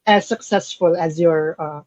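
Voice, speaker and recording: A woman hosting an interview, asking questions in a halting way with frequent restarts. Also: sits low, darkish tone, no echo, highs cut off. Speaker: interview host.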